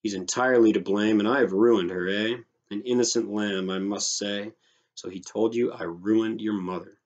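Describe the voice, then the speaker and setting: A man's voice: lowish voice, steady tone, moderate pace, darkish tone, dull audio, lightly acted. A man voicing an indignant, sarcastic grown man nursing a grievance, in a scornful, snorting storybook reading. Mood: sarcastic, offended.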